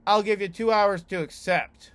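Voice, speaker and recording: A man voicing a curt male boss who gives assignments in a clipped, brisk way. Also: high-pitched, fairly bright tone, smooth, dry recording.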